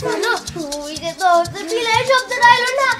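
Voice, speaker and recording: A woman with a Bangladeshi accent voicing an excitable little child in a fairy-tale cartoon, tattling insistently. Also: very high-pitched, moderate pace. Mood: excited. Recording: loud music behind the voice.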